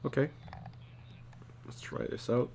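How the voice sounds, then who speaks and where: A man giving a casual drawing tutorial, thinking aloud in a halting, muttering way as he works. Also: mellow, slight background noise. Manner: halting, muttering to himself while working. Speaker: storyboard artist, tutor.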